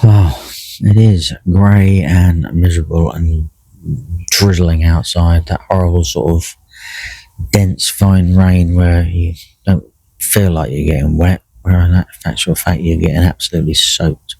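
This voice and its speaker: A middle-aged man with a croaky voice, chatting casually by himself while out fishing, with lots of fillers and restarts. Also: low-pitched, measured pace, no room sound, poor audio. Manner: relaxed, rambling, many fillers and restarts. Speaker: carp angler vlogging a session. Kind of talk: casual solo vlog commentary. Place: in a fishing bivvy by a lake.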